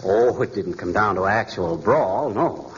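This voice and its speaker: Boastful, lively folksy American husband, old radio. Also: male voice, fairly low pitch, a little slow. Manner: folksy slang, tall-tale bragging. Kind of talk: 1940s radio comedy acting. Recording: muffled, noisy 1940s radio recording.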